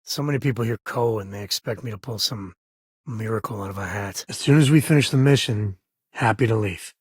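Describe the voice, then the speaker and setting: An actor playing a wry, good-hearted space explorer and devoted father in a video game, with a husky, rough-edged voice and a casual, folksy, dryly sarcastic way of talking. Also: lowish voice, fairly quick, no echo, studio quality. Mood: earnest. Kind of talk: video game character voice lines.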